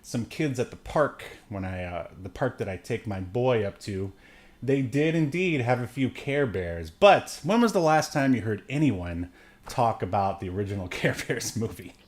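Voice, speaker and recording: Man, late thirties, mid-pitched voice, podcast co-host, casual review chat, halting and rambling. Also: lively intonation. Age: late 30s.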